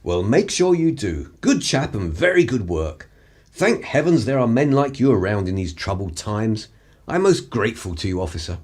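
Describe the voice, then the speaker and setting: A man plays an upper-class English gentleman spy in a radio drama. His slightly husky voice swings widely in pitch, haughty and flustered, with old-fashioned dramatic phrasing. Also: big pitch swings, moderate pace. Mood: smooth, condescending. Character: flustered, condescending upper-class male spy, drunk and desperate. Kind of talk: radio play acting.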